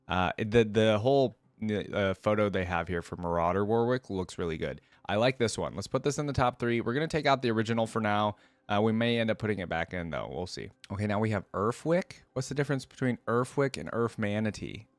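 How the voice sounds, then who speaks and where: A man's voice: lowish voice, dry recording. A man hosting a reaction video, giving casual commentary and thinking aloud in a conversational way as he weighs his opinions.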